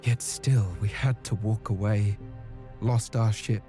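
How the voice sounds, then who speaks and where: A man's voice: on the low side, fairly even intonation, slow. A man playing a devout, brooding medieval warrior, narrating a quest solemnly in a video game. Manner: solemn, pious. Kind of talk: dramatic scripted narration in a game. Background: music.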